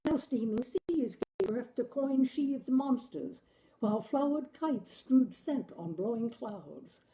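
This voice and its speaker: A woman reading a literary short story aloud with expressive, dramatic delivery. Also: fairly high pitch, slow pace, low-quality recording. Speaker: story reader, show host. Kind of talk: literary audiobook-style story narration.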